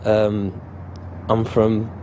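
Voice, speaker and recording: A man's voice: lowish voice, completely flat delivery, drawn-out words, warm tone, a little hiss or hum. A man in his twenties with a Mancunian accent, an actor telling joking, rambling anecdotes in a casual interview. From Salford, Manchester. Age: 20s.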